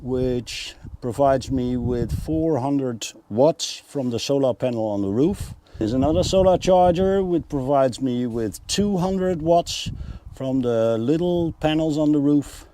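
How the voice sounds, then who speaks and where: A man's voice: mid-range voice, a little slow, warm tone. A man presenting a narrated tour of a motorhome as a vlogger.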